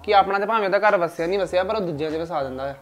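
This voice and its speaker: A man's voice: high voice, rapid-fire, close to an everyday voice. A man playing an agreeable, chatty village son talking with his mother. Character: agreeable village son sent to find a match. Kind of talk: village comedy sketch acting.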